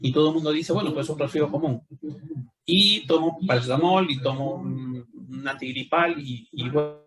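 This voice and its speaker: A man's voice: fairly high pitch, normal speed, low-quality recording. A man giving an explanatory medical lecture, often posing rhetorical questions. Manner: didactic, rhetorical questions with tag "no?". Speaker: doctor giving a talk.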